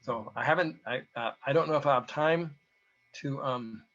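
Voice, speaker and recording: A man with a hoarse voice gives an informal, halting technical presentation, explaining data as a researcher. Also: mid-range voice, fairly bright tone. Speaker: presenter, researcher giving a talk to beekeepers. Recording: dull, lo-fi audio.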